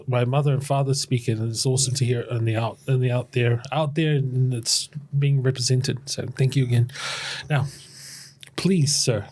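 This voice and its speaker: A man's voice: mid-range voice, a little mellow. A man hosting a community podcast in an upbeat, enthusiastic, playful way. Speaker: podcast host.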